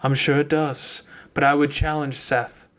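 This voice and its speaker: A man's voice: fairly high pitch, level tone, a bit bright. A man voicing a stern, formal mage. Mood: hard, formal. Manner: measured, formal, unyielding. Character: stern, controlled adult male mage, cold and accusing.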